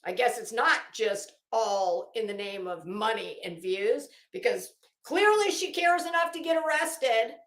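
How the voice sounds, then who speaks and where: A woman's voice: a little high, lively intonation, a little slow, fairly bright tone, reverberant. A woman delivering an unscripted, opinionated commentary rant as an online host, sarcastic and taunting, with theatrical emphasis.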